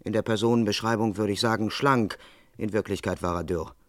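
A man's voice: fairly low pitch, fairly even intonation, fairly quick, fairly bright tone, no room sound, clean studio sound, lightly acted. A man playing an ambitious, dutiful young London police constable in his twenties in a 1970s radio play, musing to himself or deferring to superiors. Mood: earnest, observant. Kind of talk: 1970s German radio play acting, monologue and dialogue.

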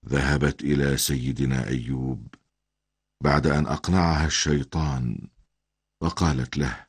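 Very deep, husky older male storyteller. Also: fairly even intonation, normal speed, no echo. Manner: solemn, formal storytelling. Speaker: grandfather narrator. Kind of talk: scripted religious story narration for a cartoon.

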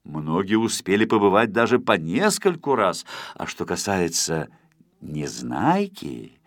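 A man reads a children's tale as an audiobook narrator. His fairly low voice moves through wide, lively intonation. The sound is dry, and the delivery is expressive and scripted. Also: unhurried, no room sound.

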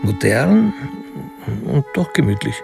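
A sober storyteller narrates a radio detective drama. His mid-pitched voice is thick with creak and gravel, and the delivery is literary and detached. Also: big pitch swings, slow, music in the background, exaggerated voice.

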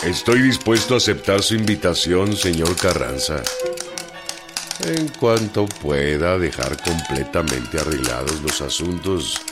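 A man playing a blunt, commanding revolutionary general in a radio drama, with deliberate, formal delivery. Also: deep voice, expressive, loud music behind the voice. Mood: formal, resolute.

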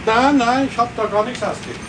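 An actor playing a fussy, nosy, officious apartment caretaker with a Bavarian accent in a children's radio play. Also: male voice, very high-pitched, fast talker, background noise. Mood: fussy, apologetic. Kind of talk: 1970s German children's radio play acting.

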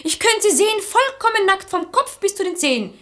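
High-voiced saucy maid. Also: female voice, big pitch swings, fairly quick, sharp tone, heavily acted. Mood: pert mockery. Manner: pert, mocking, theatrical. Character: saucy, outspoken, quick-witted maidservant. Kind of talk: German radio play acting in rhymed verse.

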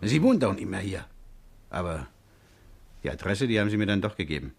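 An actor in a 1950s German radio crime drama playing an anxious, hot-tempered working-class man who tells his story haltingly. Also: low-pitched, big pitch swings, creaky, husky, exaggerated voice. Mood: uneasy, confiding.

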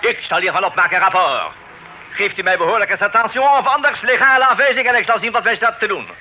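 A self-important deputy sheriff in a radio drama. His voice is rather high and very sharp, with officious, flowery phrasing and French flourishes. Light noise sits on the old recording. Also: fast, poor audio. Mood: exasperated. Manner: officious, ornate speech peppered with French words. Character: pompous, flustered male Amsterdam deputy sheriff. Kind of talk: radio play acting.

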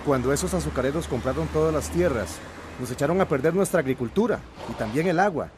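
Actor as animated, earnest village man. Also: fairly high pitch, quick pace, slight background noise, lightly acted. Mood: resolute. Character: earnest village man, newly convinced, determined to act. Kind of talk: radio drama acting.

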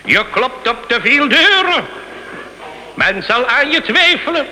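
An actor playing a menacing French revolutionary official in a radio drama, declaiming with a sneer. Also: male voice, very high-pitched, big pitch swings, takes it slowly, pushed and bright, full character voice, low-quality recording. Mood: sneering threat.

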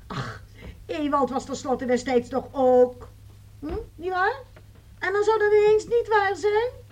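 An actress playing a gossipy, opinionated housewife making emphatic excuses on the telephone in a radio play. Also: female voice, very high-pitched, unhurried, muffled recording. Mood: defensive, insistent. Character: chatty, opinionated housewife defending her family to friend. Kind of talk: radio play acting, one side of a phone call.

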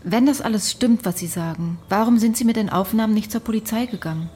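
A woman's voice: medium pitch, fast, mellow, a little hiss or hum, natural voice. A woman playing a sceptical, reserved questioner in a radio drama, probing and challenging.

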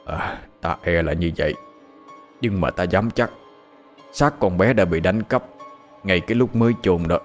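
A man voicing an old village ritual master who explains things in a measured, solemn way. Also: low-pitched, faint music behind. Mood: grave. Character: old male ritual master, calm and grave.

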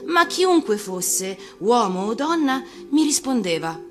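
A woman actor reading a storybook for radio, expressive and theatrical, with deliberate phrasing. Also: on the high side, over music. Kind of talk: expressive scripted storybook narration.

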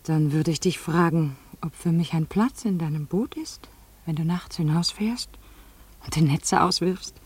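An actress playing a wealthy, weary woman in a German radio play, speaking in hushed, wistful questions that slip toward whispers. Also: sits low, a little slow. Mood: wistful yearning. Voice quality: hushed, breathy, slipping into whispers. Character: wealthy, weary, yearning divorced woman, Mrs. Brown.

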